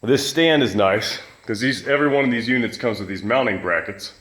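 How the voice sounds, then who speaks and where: A man with a loud voice, casually reviewing a fan in his home gym, rambling with lots of fillers and restarts. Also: mid-range voice, fairly quick, breathy edge. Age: adult with children. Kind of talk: casual product review vlog.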